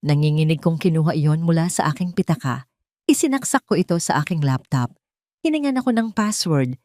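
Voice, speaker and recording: A woman with a Filipino accent narrating a dramatic first-person story in an emotive, deliberate way. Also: deep voice, expressive, darkish tone, no echo.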